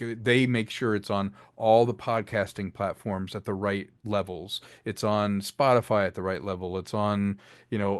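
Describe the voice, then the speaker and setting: A man's voice: fairly low pitch, no room sound, clean studio sound. A man giving a casual interview as a guest, explaining his audio production work with enthusiasm. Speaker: interview guest, audio drama creator and actor.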